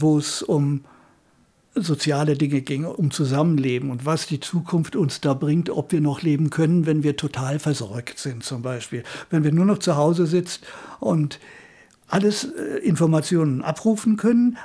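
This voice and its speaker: Husky, creaky older man in interview. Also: a little high, moderate pace, dark tone. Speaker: retired radio drama director being interviewed. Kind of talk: reflective interview talk.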